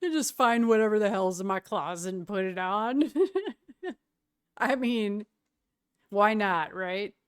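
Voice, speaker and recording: A woman's voice: mid-range voice, slow pace, long pauses, clean tone, no room sound, studio quality. A young woman from Wisconsin with a slight Wisconsin accent, chatting casually with her stream's chat and laughing often.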